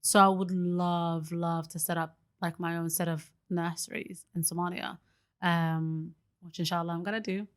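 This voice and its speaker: A woman's voice: on the low side, flat delivery, normal speed. A young woman talking as a podcast guest in a casual, earnest way, full of fillers and easy laughter. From Britain. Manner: chatty and earnest, many fillers like "like" and "you know"; laughs easily. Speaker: guest, early childhood educator.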